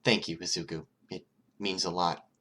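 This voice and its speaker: An earnest teenage would-be villain , read by a man, awkward and determined. He speaks haltingly when embarrassed, in the manner of an audiobook character. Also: low-pitched, steady tone, sharp tone.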